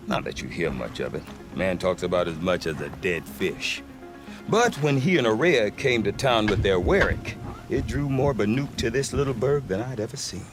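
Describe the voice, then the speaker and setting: A man's voice: on the low side, natural voice. A middle-aged man playing a wry, grumbling, talkative frontier trader in a video game. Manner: wry, talkative, hesitant when choosing words. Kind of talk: video game dialogue acting.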